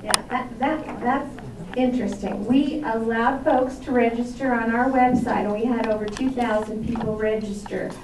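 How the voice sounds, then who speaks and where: A woman's voice: a little high, fairly even intonation, normal speed, noisy background. A woman from a senator's staff giving a chatty, upbeat town hall update with many ums. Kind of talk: public speech at a town hall. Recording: echoey hall recorded from far off.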